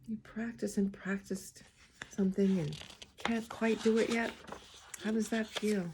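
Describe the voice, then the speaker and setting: A woman reading a picture book aloud to young children, expressive and gently pausing to speak to her listeners. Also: medium pitch, slight background noise. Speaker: storybook reader, school well-being coordinator.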